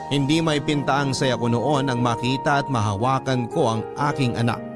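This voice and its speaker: Radio drama narrator, male: medium pitch, earnest and sorrowful first-person confession, sentimental delivery over a music bed. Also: fairly even intonation, moderate pace, lightly acted. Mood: wistful sorrow. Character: sorrowful letter sender recounting painful lost love.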